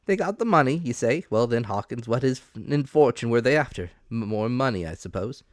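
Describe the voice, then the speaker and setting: A man voicing a hearty, blustering revenue officer, brisk and emphatic, in a dramatic audiobook reading. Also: medium pitch, animated, no room sound, studio quality, pitched above the performer's own voice, close to an everyday voice.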